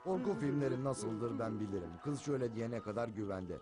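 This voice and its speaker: A man's voice: mid-range voice, expressive, fairly quick, lightly acted. Cartoon dub: male actor as sarcastic, smug house cat, mid-range voice, theatrical delivery, music underneath. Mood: wry. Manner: wry, self-assured, theatrical.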